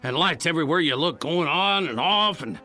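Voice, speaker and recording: An actor playing a weary, bewildered cowboy from the Old West in a radio drama, with halting, plain-spoken rustic talk. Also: male voice, on the high side, animated, sharp tone, soft background music.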